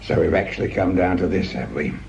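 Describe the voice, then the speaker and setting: An actor playing a principled, stubborn detective sergeant in a radio drama, polite yet plain-spoken and defiant. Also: male voice, low-pitched, a little fast, vocal fry, a little mellow, dull audio, a little hiss or hum. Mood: bitter, incredulous. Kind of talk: broadcast radio drama acting.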